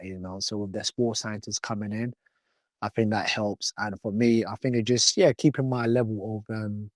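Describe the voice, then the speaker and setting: Relaxed man with dry close sound. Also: lowish voice, no room sound. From born in England, raised in Ghana. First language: Twi. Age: 30s. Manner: chatty, loose, many "you know" fillers and restarts. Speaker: footballer guest. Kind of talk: casual interview.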